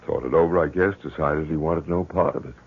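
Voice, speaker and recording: Deep husky worried father, old radio. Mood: baffled. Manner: halting, earnest, insistent. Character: worried, stubborn middle-aged father of a grown daughter. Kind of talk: old-time radio drama acting. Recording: very muffled vintage radio recording.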